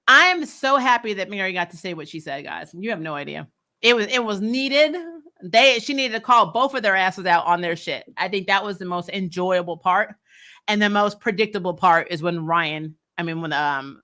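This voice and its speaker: Bright-voiced, sassy woman recapping TV. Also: fairly low pitch, normal speed. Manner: candid, sassy, emphatic, colloquial with casual swearing. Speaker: host of a TV recap show. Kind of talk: casual fan commentary and chat.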